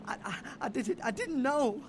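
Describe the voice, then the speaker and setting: A man voicing a meek, frightened young male vampire in a video game, stuttering tearfully and pleading in halting apologies. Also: extremely high pitch, expressive, slight background noise. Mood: panicked. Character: terrified, meek, stammering young male vampire begging for mercy.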